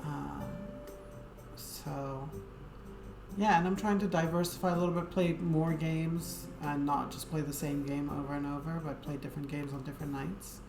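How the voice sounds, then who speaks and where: A woman's voice: low voice, steady tone, moderate pace. A woman game streamer chatting casually to viewers in a rambling, hesitant way, with lots of fillers. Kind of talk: casual live-stream chat. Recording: echoey room with game music.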